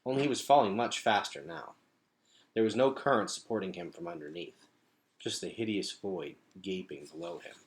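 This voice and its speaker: A man's voice: deep voice, moderate pace. A man reading a storybook aloud as a storyteller, with dramatic, emphatic phrasing.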